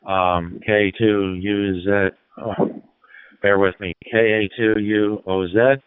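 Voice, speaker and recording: A man chatting casually as an amateur radio operator, halting and polite, spelling out call signs phonetically over a narrowband digital radio link. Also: lowish voice, level tone, clear, resonant tone, no room sound.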